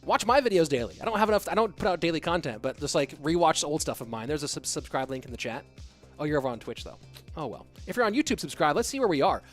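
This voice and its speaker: A man casually casting an esports stream, reading viewer chat aloud and answering in a relaxed, rambling way. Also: on the high side, quick pace, soft background music.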